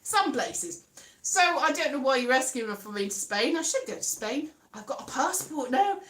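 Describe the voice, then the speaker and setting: High, bright Welsh woman rambling. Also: sing-song, moderate pace. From Wales. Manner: meandering, self-correcting, breaks into playful sing-song. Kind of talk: rambling casual chat. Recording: echoey room with faint guitar music.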